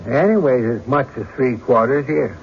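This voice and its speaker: A man playing an evasive, defensive rustic witness in a radio courtroom drama, with a folksy, halting, stammering delivery. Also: medium pitch, lively intonation, natural voice. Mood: irritated, defensive. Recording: dull, noisy old radio recording.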